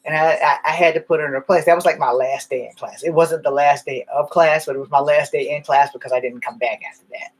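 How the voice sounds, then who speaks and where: A man's voice: fairly high pitch, fairly even intonation, fast, few pauses, breathy edge, poor audio. A man rambling through candid stories in a casual monologue, swearing now and then. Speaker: vlogger.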